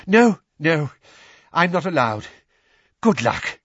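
A man voicing an anxious, hurried wizard father, agitated and breathless, in a storybook reading. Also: lowish voice, wildly swooping pitch, fairly bright tone, breathy edge, no room sound, muffled recording, heavily acted. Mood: urgent, anxious.

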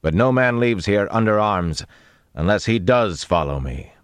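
A man voicing a firm, unyielding king in an audiobook, with a measured, authoritative delivery. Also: a bass voice, animated, husky, no echo, clean studio sound, full character voice. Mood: firm, commanding. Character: young male king, commanding, firm, unyielding.

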